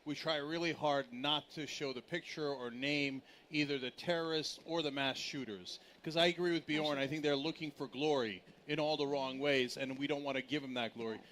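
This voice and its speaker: Male panel moderator, higher-than-average pitch, emphatic and argumentative, live conference stage. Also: steady tone, normal speed, few pauses, a bit bright, a little hiss or hum.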